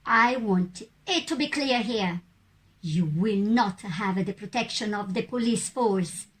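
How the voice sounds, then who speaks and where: Slow, defiant female citizen acted by a woman. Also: mid-range voice, lightly acted. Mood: firm, warning. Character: defiant citizen challenging police in puppet show. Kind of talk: scripted puppet show dialogue.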